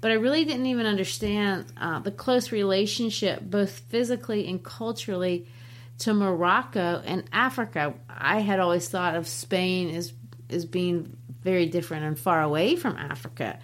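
A woman's voice: mid-range voice, moderate pace. A woman who teaches and co-hosts a book podcast, talking in a chatty way and laughing easily. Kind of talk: casual book discussion.

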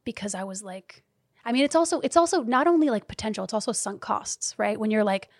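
A woman in her thirties, a guest in a candid podcast conversation, talking animatedly with laughs and the odd curse, and dropping to a hush now and then. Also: medium pitch, fairly quick, dry recording, clean studio sound. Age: 30s. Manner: animated, swearing, laughing, shifting from loud to hushed. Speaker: guest, community leader and business owner.

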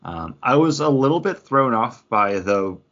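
A man's voice: medium pitch, big pitch swings, normal speed, fairly bright tone. A man co-hosting a podcast, bantering and reviewing in a casual, conversational way. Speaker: podcast co-host. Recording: dull lo-fi sound.

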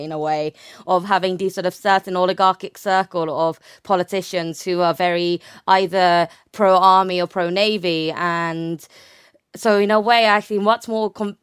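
A woman's voice: on the low side, clean tone, no echo, clean studio sound. A young woman speaking as an academic guest, explaining her research conversationally and often rephrasing with "sort of".

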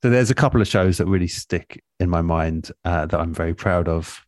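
A man talking reflectively as the guest in a relaxed interview, a radio producer looking back on his work. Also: deep voice, fairly quick, no echo, studio quality.

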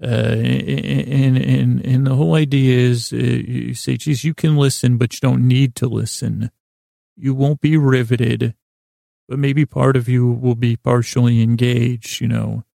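A man hosting a sleep podcast in a creaky voice, meandering haltingly in lulling, soothing tones, repeating himself and trailing off. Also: medium pitch, flat delivery, dark tone, no echo, studio quality. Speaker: podcast host. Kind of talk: rambling sleep-podcast monologue.

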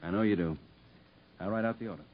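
A man playing a level-headed, principled prison doctor in a 1950s radio drama, in a measured, matter-of-fact way. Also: fairly low pitch, very noisy, lightly acted. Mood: concerned, firm. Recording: muffled vintage radio broadcast.

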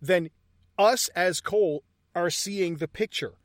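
A man's voice: high voice, takes it slowly, no room sound, studio quality. A man co-hosting a casual movie review chat, walking through scenes and swearing casually. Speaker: movie review podcast co-host.

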